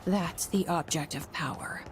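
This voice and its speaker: An actress voicing a wary, determined young woman investigator who muses quietly to herself, sometimes in a whisper. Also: fairly low pitch, measured pace, very noisy, natural voice. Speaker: protagonist inner monologue. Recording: game audio over loud music.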